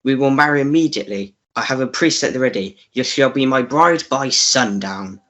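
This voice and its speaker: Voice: medium pitch, moderate pace, a bit bright, lightly acted. An actor playing an oily, pushy male villain wooing in a melodramatic radio play, smarmy and domineering. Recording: dull lo-fi recording.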